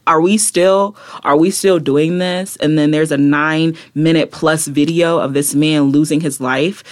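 A woman's voice: sits low, steady tone, a little slow, darkish tone. A young adult woman, a poet and activist, talking passionately as a podcast guest, with frequent "like" and "right?" asides. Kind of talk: reflective casual interview talk.

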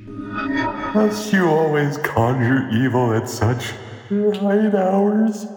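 A man's voice: on the high side, big pitch swings, very slow, over music, heavily acted. A man playing a brutally honest magic mirror in a comic radio play, shifting between mock-solemn grandeur and wry, casual remarks. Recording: echoey, long hall reverb.

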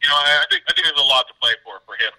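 Male hockey pundit, very bright and forceful, quick bursts with repeated words. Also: on the high side, lively intonation, low-quality recording. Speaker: hockey writer, interview guest. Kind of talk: conversational sports analysis.

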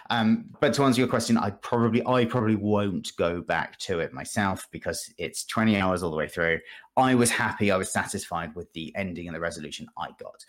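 Chatty man with a slightly low voice. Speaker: podcast guest. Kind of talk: casual gaming podcast chat.

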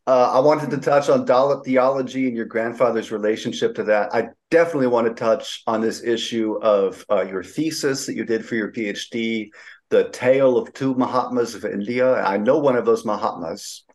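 A man's voice: mid-range voice, normal speed, darkish tone. A man hosting an interview, asking long, enthusiastic questions full of fillers and restarts. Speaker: podcast host.

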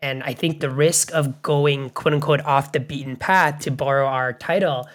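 Man from Bangladesh, podcast co-host, measured and reflective, mid-pitched voice. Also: fairly even intonation, a bit bright. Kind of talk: conversational interview.

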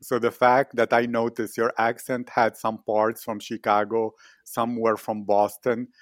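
Interviewer guy, mid pitch, dry sound, asks long rambling questions. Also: flat delivery, a little slow, no room sound. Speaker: host, interviewer. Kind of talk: podcast interview.

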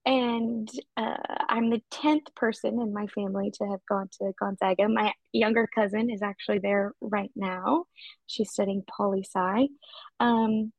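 A woman in her twenties, a podcast guest raised in Japan and Washington State, telling her story in a relaxed, friendly way. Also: mid-range voice, measured pace, creaky, no echo, lo-fi sound. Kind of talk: casual podcast interview.

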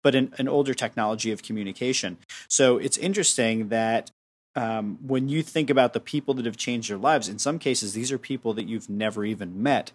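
A young adult man hosting a podcast, summing up his guest's points in long winding sentences before asking questions. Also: lowish voice. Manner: long, summarizing questions with restarts. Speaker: podcast host. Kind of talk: casual interview chat.

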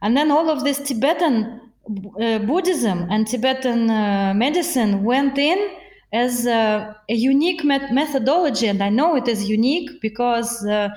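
A woman's voice: on the high side, lively intonation, normal speed, darkish tone, echoey room. A woman with a Russian accent, a voice coach talking earnestly in an interview and laughing often. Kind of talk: podcast interview.

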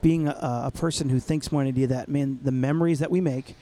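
A man's voice: medium pitch, fairly even intonation, fast, mellow. A middle-aged man co-hosting a casual podcast chat, easygoing and reflective as he tells stories. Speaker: podcast co-host.

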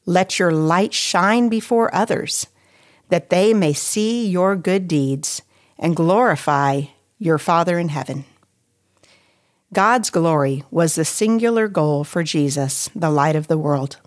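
Slow, lower-pitched woman reading a devotional. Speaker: devotional writer and reader.

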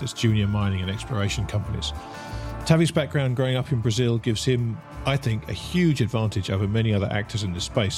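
A man hosting a podcast, with a voice in the middle of the usual range. He speaks articulately and at an easy pace as he moves into a relaxed chat with his guest. Faint music sits underneath. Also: mid-range voice. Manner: articulate, warm scripted intro then relaxed chat. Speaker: podcast host. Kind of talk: conversational interview.

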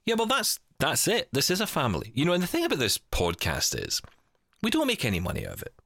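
A man's voice: a little high, wildly swooping pitch, fast, a bit bright, no echo, studio quality. A Scottish man hosting a podcast in a casual, chatty style, joking and poking fun at himself. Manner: jokey, self-deprecating. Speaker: podcast host. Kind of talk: casual banter chat.

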